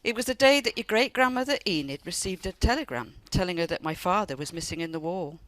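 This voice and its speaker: A woman's voice: on the low side, expressive, a bit bright, no room sound, low-quality recording. A woman, unhurriedly telling family memories. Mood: reflective storytelling. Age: older. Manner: unhurried, reminiscing. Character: reflective elderly grandmother recalling wartime family history. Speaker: amateur radio play actor. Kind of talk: radio play storytelling.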